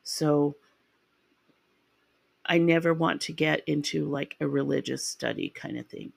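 Woman, podcast host, raspy with a sinus infection, gravelly, chatty, dry room. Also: low voice, fairly even intonation, a little slow, no room sound. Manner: rambling and chatty, with ums and restarts. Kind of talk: casual true-crime podcast narration.

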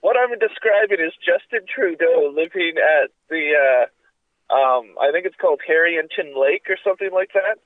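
A man's voice: on the high side, moderate pace, dry recording, phone-quality sound. A congested man with a cough and sore throat, chatting casually on a podcast in a rambling, profane way. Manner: rambling, profane, full of fillers. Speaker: podcast co-host. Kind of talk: casual chat.

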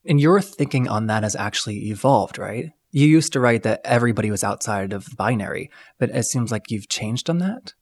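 A man's voice: lowish voice, lively intonation, brisk, few pauses, dry recording. A man interviewing a guest, asking curious, probing questions.

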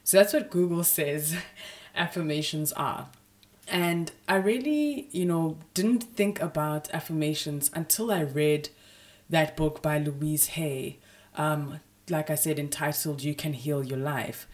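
Low-voiced woman with a raspy sore throat. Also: measured pace. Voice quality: husky, raspy. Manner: chatty, frequent "you know" fillers. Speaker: podcast host. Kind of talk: casual solo podcast talk.

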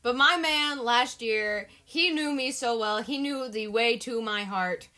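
A woman's voice: on the high side, a little slow, bright, forceful tone. A woman co-hosting a podcast in casual chat. She is playful and moves the conversation along. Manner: playful, steers the talk. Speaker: podcast co-host.

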